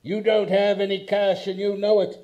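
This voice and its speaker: Guy playing a scheming greedy old banker, smug, dark dull phone sound, radio play. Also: sits high, level tone, normal speed, lightly acted. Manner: smug, menacing.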